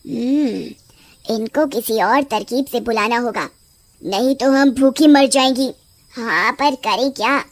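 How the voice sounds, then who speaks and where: A woman voicing a gloating, scheming two-headed witch in an animated horror folk story, with drawn-out, menacing delivery. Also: fairly high pitch, no echo, pitched below the performer's own voice.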